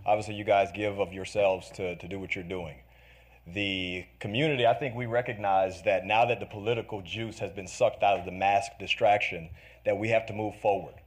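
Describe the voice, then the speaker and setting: A man from North Carolina stands at a public meeting and delivers an impassioned speech to the board. His voice is forceful, and he drives his points home with repeated rallying lines. The sound carries a meeting-room feel. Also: on the low side, fairly even intonation, a little fast. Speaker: parent speaking at a school board meeting.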